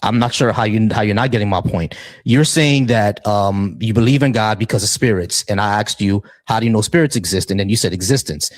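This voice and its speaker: A man's voice: on the low side, a little fast, no room sound. A man hosting and moderating a live debate panel, insistent and challenging, often repeating himself.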